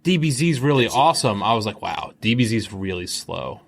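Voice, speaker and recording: A man in his mid-thirties, a guest on a podcast, talking casually and animatedly with quick asides. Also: fairly low pitch, sing-song, gravelly. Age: 30s. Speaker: guest librarian. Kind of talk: casual chat. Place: library recording room.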